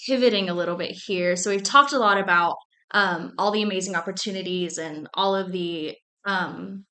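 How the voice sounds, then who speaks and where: A woman's voice: mid-range voice, bright, forceful tone. A young woman hosting an interview, chatty and upbeat with lots of filler words. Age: young adult. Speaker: interviewer, host. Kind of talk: casual interview chat.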